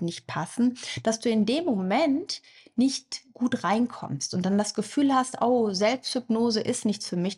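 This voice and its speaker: Breathy-voiced woman coaching in a podcast. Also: mid-range voice. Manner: warm explanatory, addresses listener directly, acts out inner thoughts. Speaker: hypnosis coach. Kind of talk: podcast monologue.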